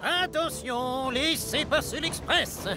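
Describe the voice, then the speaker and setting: Proud grumpy big cartoon locomotive, pompous, high-pitched male voice, unhurried, music behind. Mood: imperious. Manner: pompous, boastful. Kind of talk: French-language children's cartoon dubbing.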